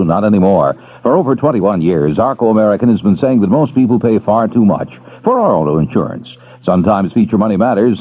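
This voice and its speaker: A man reading a radio commercial in a persuasive sales-pitch manner. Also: breathy edge. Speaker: radio ad announcer. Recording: tinny old radio broadcast.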